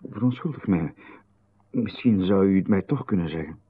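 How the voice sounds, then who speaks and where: A man's voice: deep voice, normal speed, breathy edge, no echo. A man with a Flemish accent, playing a polite, hesitant playwright in a radio drama who is easily flustered. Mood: polite unease. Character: polite, flustered male playwright, anxious to please. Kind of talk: 1990s radio drama acting. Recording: dry, narrow, phone-like radio broadcast.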